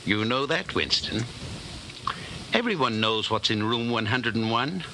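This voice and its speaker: An actor playing a cold, controlling party inquisitor in a 1940s radio drama, commanding, deliberate and menacingly calm. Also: male voice, mid-range voice. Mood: cold, triumphant. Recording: noisy old 1940s radio broadcast.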